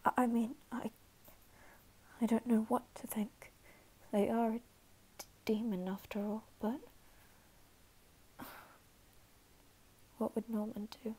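A woman voicing a flustered, guarded but caring teenage anime boy in an ASMR roleplay, halting and stammering, sometimes whispering. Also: fairly high pitch, little pitch movement, mellow, husky. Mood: bewildered, flustered. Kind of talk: ASMR roleplay, soft scripted acting.

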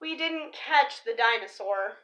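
A young woman presenting to children in an expressive storybook read-aloud style, stressing key words like a teacher. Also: on the high side, unhurried, rough recording. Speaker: teacher reading a picture book aloud for children. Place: small living room or room at home, indoors.

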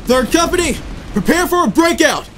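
Man acting a high-pitched, barking war commander. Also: expressive, moderate pace, a little hiss or hum. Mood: commanding, sardonic. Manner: barking orders, swearing. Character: gruff, sardonic male military commander in sci-fi war. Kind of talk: sci-fi audio drama acting. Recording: loud music and battle sounds behind.

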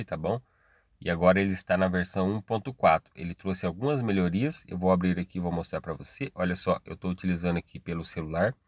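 A man's voice: deep voice, no room sound, clean studio sound. A Brazilian man hosting a tech tutorial, walking through steps casually and checking in often. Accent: Brazilian Portuguese. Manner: step-by-step, casual, tag questions. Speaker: tech channel host. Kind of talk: screen-recorded tech tutorial.

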